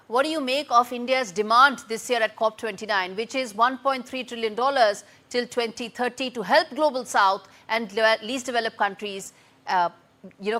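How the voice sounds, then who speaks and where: A woman anchoring a TV news segment, briskly framing a story and questioning a guest. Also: on the high side, bright, forceful tone. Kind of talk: news interview hosting.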